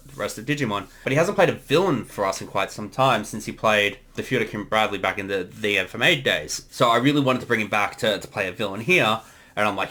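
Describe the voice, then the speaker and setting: A man's voice: fairly low pitch, bright, forceful tone. A man speaking as a series creator, giving a casual commentary.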